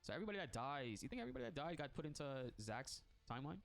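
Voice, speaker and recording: A man hosting a casual reaction stream, congested from a cold, excitable and slangy, laughing often. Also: fairly high pitch, very fast, vocal fry, soft background music. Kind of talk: casual reaction chat, reading text aloud.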